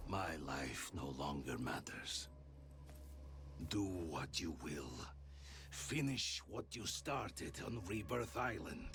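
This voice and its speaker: An actor playing a menacing, bitter Soviet ideologue in a game cutscene, with a slow, mocking, grandiose manner. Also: male voice, low-pitched, expressive, background noise, exaggerated voice. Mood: grim, triumphant. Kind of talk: dramatic game cutscene acting. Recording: loud music behind the voice.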